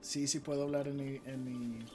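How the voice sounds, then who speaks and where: A man with a mid-range voice chats easily with his viewers, reading out their comments with plenty of fillers and restarts. Loud game music plays under his voice throughout the stream. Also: medium pitch, flat delivery, fairly quick. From Mexico. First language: Spanish. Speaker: live streamer and channel moderator. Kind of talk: casual live-stream chat while playing a game.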